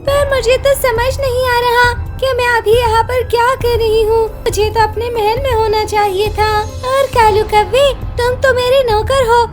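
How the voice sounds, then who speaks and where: A woman's voice: very high voice, flat delivery, a little slow, hardly any pauses, music in the background. A woman voicing a small, bossy female bird in a children's cartoon, with an exclamatory, scolding manner. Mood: confused, imperious. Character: small female bird, bossy, insisting she rules the forest. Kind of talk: Hindi children's cartoon dubbing.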